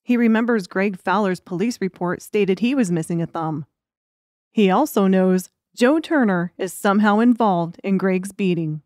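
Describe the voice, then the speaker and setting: A woman narrates a scripted audiobook story. Her voice sits at a medium pitch. The recording is dry and close, without room sound. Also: normal speed, a little mellow, dry recording.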